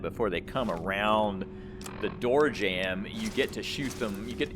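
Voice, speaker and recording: Low-voiced man doing casual gameplay commentary. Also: noisy background. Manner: explanatory, rambling, thinking aloud. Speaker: gamer, let's play host.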